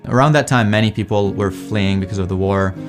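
A low-voiced young man from Iraq looks back on his path in an earnest, reflective testimonial. Instrumental music plays clearly beneath him. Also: a little fast. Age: young adult. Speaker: PhD student telling his story. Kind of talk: edited personal testimonial.

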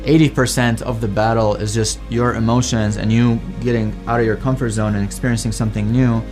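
A man's voice: medium pitch, steady tone, a little fast. A young man from Iraq, a PhD student, telling his story in a thoughtful, casual way with frequent "like.". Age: young adult. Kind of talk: personal testimonial. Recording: music bed under the voice.

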